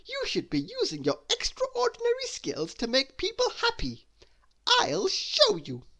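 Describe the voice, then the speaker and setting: Casting: narrator playing a small eager fellow, pitched up high, lively. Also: measured pace, vocal fry, exaggerated voice. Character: small timid man with long arms.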